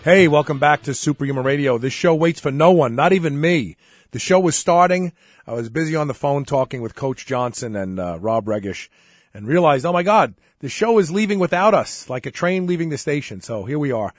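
Talk show host, man, stuffy head-cold voice, fairly high, dry close mic. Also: expressive, no echo. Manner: chatty, brisk, steers the conversation. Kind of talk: casual talk radio hosting.

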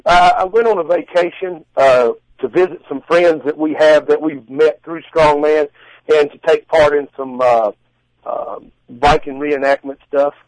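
A man in his forties speaking as an interview guest, telling stories casually in halting, folksy phrasing. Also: a little high, fairly even intonation, no echo, rough recording. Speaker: guest, strongman competitor and contest judge. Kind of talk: casual interview answers and storytelling.

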